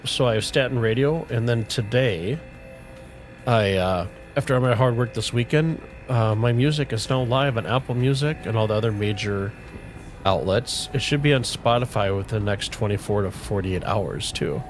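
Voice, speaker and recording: A middle-aged man chatting casually with viewers on a game livestream, relaxed and rambling, with a soothing voice. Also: mid-range voice, moderate pace. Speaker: streamer. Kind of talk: casual livestream chat while gaming. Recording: faint music playing underneath.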